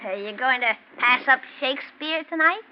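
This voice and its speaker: A man's voice: very high voice, expressive, normal speed. An actor playing a bossy, protective little man with a brusque, teasing manner in a 1940s radio drama. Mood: dry teasing. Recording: dull, noisy 1940s radio recording.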